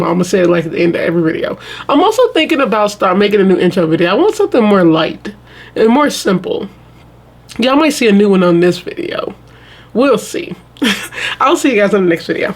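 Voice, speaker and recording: A woman in her mid-twenties from the American South, chatting casually to her viewers, full of "y'all" and quick restarts. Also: medium pitch, animated. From Southern United States. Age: 20s. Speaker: vlogger. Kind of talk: casual chat to camera.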